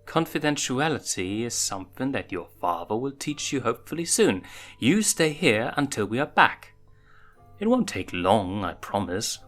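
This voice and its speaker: A man voicing a calm, motherly lioness huntress in a story reading, teasing and firm, with measured, probing questions. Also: mid-range voice, faint music behind. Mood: patient, firm.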